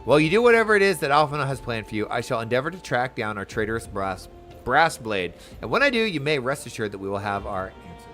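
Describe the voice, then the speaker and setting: A man's voice: medium pitch, big pitch swings, quick pace, a bit bright, faint music behind. A man reading aloud the lines of a formal, sardonic fantasy game leader in stately, archaic phrasing. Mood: dutiful.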